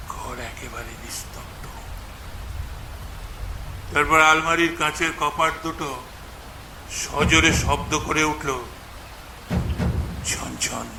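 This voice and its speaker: A man narrating a suspense story in a measured, dramatic manner with weighty pauses. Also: fairly high pitch, gravelly, reverberant, background noise.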